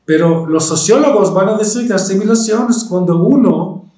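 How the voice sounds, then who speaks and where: Israeli guy lecturing, argentine-ish spanish accent, fairly high voice, lots of ums, echoey room. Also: normal speed, dark tone. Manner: hesitant, many fillers and restarts. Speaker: historian lecturer.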